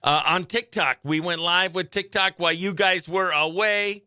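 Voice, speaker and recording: An American man hosting a morning radio show, chatting in an upbeat, effusive way with greetings and shout-outs. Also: high voice, fairly even intonation, normal speed, clear, resonant tone, no echo, studio quality. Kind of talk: chatty country radio show and livestream banter.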